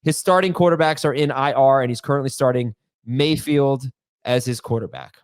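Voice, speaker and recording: A man's voice: medium pitch, normal speed, smooth, no room sound, studio quality. A man hosting a podcast with a nasal voice, chatting casually and reading listener emails out step by step with self-deprecating humor. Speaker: podcast host.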